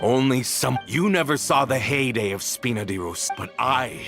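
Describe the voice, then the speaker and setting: A man's voice: moderate pace, fairly bright tone, soft background music, natural voice. A man playing a bitter, vengeful game villain who accuses theatrically and nurses an old grudge. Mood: bitter, accusing. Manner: accusing, theatrical, swinging from low brooding to outbursts. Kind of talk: dramatic game cutscene acting.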